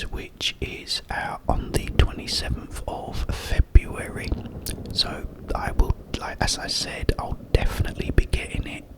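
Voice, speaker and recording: A man whispering a rambling, gently enthusiastic ASMR chat in a breathy, hushed voice, close to the microphone. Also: rough recording. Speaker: ASMR creator.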